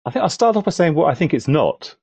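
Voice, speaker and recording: A man talking as a podcast panellist, thoughtful and halting, building his points gradually in conversation. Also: a little high, big pitch swings, gravelly, darkish tone, husky, no room sound. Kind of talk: conversational film discussion.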